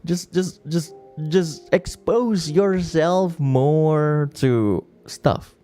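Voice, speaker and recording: A man's voice: a little high, very slow, warm tone, soft background music. A man chatting casually and rambling on a late-night stream, with many restarts and laughs. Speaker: virtual streamer.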